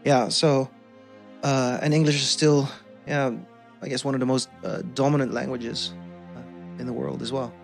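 A man's voice: mid-range voice, level tone, moderate pace, creaky, soft background music. A young man with a Dutch accent, talking casually as a podcast guest, thoughtful, restarting sentences and laughing easily. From Netherlands. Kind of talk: casual conversational interview.

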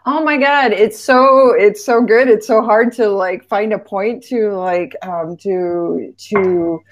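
A woman hosting a session over a video call, apologetic and halting as she steps in. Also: medium pitch, steady tone.

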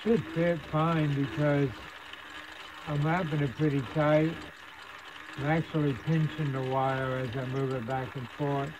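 A man casually narrating a hands-on repair demonstration at his workbench, with an unhurried, folksy manner. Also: a little high, flat delivery. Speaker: hobbyist host demonstrating radio repair. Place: indoor electronics workbench. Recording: clear background machine whir and noise.

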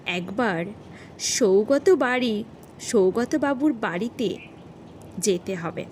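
A woman's voice: medium pitch, lively intonation, slow, darkish tone, slight background noise. A woman voicing a blustering, incredulous male police officer in charge, scolding and questioning emphatically in an audio horror story. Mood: puzzled, musing.

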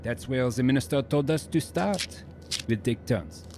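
An actor playing an earnest French husband, a musician and devout new believer, in a reverent radio drama style. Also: male voice, medium pitch, animated, a little slow, noisy background. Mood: reverent, searching.

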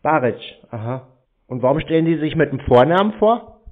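A man's voice: a little high, expressive, a little fast. A man in a phone conversation with cold callers, speaking in a sardonic, confrontational way. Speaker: call recipient confronting scam callers. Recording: muffled, narrow phone-call sound.

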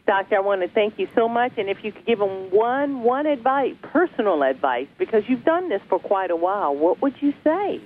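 A woman's voice: medium pitch, very animated, moderate pace, few pauses. A woman hosting a broadcast interview, welcoming her guest effusively and asking questions. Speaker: talk show host. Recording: phone-like lo-fi line with faint noise.

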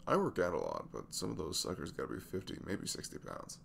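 Guy acting as a sweet tired single dad, asmr roleplay, super crackly low voice, rambles softly. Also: huge pitch swings, brisk, gravelly, heavily acted. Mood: casual, amused. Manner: hesitant, rambling, soft-spoken. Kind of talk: ASMR roleplay, casual intimate chat.

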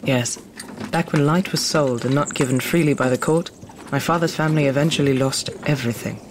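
A man's voice: fairly high pitch, a little fast, natural voice. An actor playing a polite, curious young man from the plains in a fantasy audio drama, with formal, earnest phrasing. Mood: calm, open. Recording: clearly noisy background of clicks and horse-like sounds.

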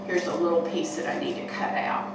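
A woman's voice: lowish voice, fairly even intonation, brisk. An older woman in her sixties hosting a cooking show, chatting homespun tips while she works in a large kitchen hall. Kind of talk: casual cooking demonstration. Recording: echoey room from far off, noisy.

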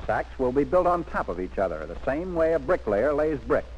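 A man's voice: mid-range voice, big pitch swings, normal speed, highs cut off, slight background noise. A man narrating a 1940s military instructional film in an emphatic, instructive scripted manner, on an old film soundtrack.

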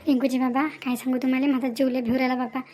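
A woman's voice: high-pitched, little pitch movement, fast, a little mellow, soft background music, natural voice. A woman voicing an overworked, grumbling village mother in a cartoon, with a folksy Vidarbha Marathi accent. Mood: worried. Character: overworked, fretful village mother of a grown daughter. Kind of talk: amateur cartoon dialogue.

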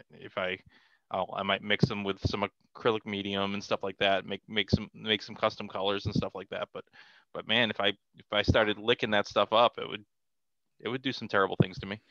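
A middle-aged man chatting as an interview guest, halting and repeating phrases as he explains, on a headset in a video call from home. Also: fairly low pitch, bright, forceful tone, clear, resonant tone, no room sound, dull audio. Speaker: podcast guest, comic artist. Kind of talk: casual conversational interview. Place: small cluttered room at home, video call.

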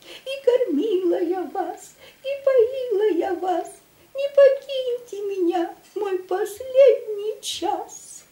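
Woman acting out a terrified little lady fly, storybook character, very high, wailing plea, echoey room. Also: animated, dark tone, heavily acted. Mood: terrified, pleading. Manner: wailing, drawn-out pleading. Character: frightened female fly pleading for rescue. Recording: echoey home room recording.

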